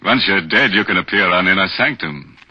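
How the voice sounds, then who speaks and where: An actor playing a gleefully morbid horror host on 1940s radio, theatrical and sardonic. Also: male voice, deep voice, animated, a little fast, slightly rough. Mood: morbidly playful. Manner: sardonic, theatrical, savoring macabre jokes. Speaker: host and narrator. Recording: dull old radio recording, music behind.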